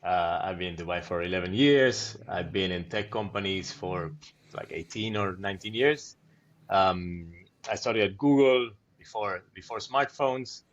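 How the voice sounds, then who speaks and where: A man in his forties with an Argentine accent, chatting on a podcast. His voice sits fairly low. He jokes about himself and laughs often. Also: measured pace, dull audio. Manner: joking, self-deprecating, laughs often. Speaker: podcast co-host. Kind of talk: casual chat.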